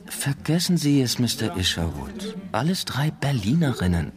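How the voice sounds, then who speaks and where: A man's voice: medium pitch, sing-song, normal speed, a crowd in the background, natural voice. A man playing a worldly, cynical Berlin bartender in a German radio drama, chatting in a knowing, conspiratorial way. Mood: knowing, gossipy. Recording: music playing behind.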